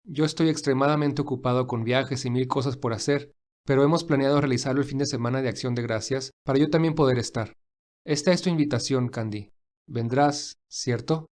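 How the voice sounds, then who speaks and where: A man playing a kind, busy, wealthy gentleman who speaks warmly and reassuringly in an audio fan drama. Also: mid-range voice, steady tone, brisk, close to an everyday voice. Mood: calm, friendly. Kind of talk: acted audio fan fiction dialogue.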